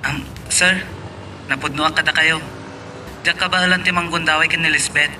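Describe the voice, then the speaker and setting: A man's voice: a little high, fairly even intonation, normal speed, bright, forceful tone, distant mic. A man with a Filipino accent playing a deferential driver in a radio drama. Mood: deferential, wary. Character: deferential driver reporting to his boss. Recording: off-mic, faint car noise.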